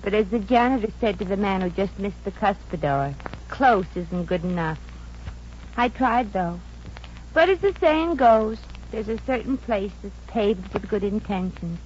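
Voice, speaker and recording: An actress playing a brash, wisecracking young working-class fiancée in a 1950s radio comedy, with slangy, sassy patter. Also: female voice, mid-range voice, animated, measured pace, a little mellow, natural voice. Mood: wry. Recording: dull, noisy old radio recording.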